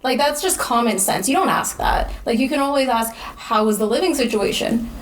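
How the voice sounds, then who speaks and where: A woman's voice: on the high side, a little fast. Frank woman, echoey room. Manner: frank and direct. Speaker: podcast co-host. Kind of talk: casual chat. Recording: echoey room, faint background noise.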